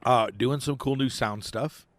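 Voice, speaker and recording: A young man co-hosting a casual podcast, chatty and quick to laugh, recorded outdoors on a porch. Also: medium pitch, no echo. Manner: chatty, laughs often, talks over his co-host. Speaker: podcast co-host. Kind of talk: casual chat.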